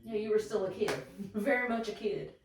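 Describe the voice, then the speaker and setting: A woman's voice: medium pitch, a little fast, slight background noise. A woman in her early thirties co-hosting a podcast, talking frankly in a casual chat. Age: 30s. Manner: frank, candid talk. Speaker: podcast co-host. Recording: very echoey room, mic at a distance.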